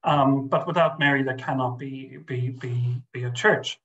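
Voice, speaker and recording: A man's voice: mid-range voice, fairly even intonation, unhurried, a bit bright, highs cut off. An older man, a guest scholar speaking over a video call from a home study, thoughtful and hesitant with many restarts and fillers. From Ireland. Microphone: webcam on video call.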